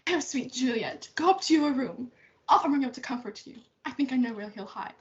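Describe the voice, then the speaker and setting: A motherly, distressed servant nurse acted by a woman in a stage play. The voice is extremely high, on a muffled, lo-fi recording. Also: expressive, brisk. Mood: reproachful, consoling. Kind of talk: student readers theatre performance.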